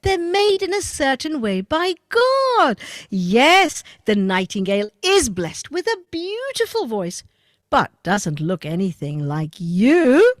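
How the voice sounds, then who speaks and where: A patient, kindly farmer, as a woman storyteller puts him on, speaking low and slow to soothe. The delivery is reassuring, unhurried and dry-sounding. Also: sing-song, dry recording, heavily acted. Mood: gentle. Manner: gentle, reassuring, lowered voice. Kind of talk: children's storytelling.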